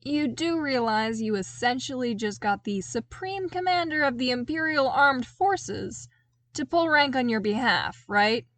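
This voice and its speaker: A loyal male soldier, acted by a woman reader in an audiobook, frank and wry. The voice sits fairly high and teases with familiar respect, recorded dry and close. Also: lively intonation, unhurried, a bit bright, no echo. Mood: wry, exasperated. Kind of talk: audiobook character dialogue.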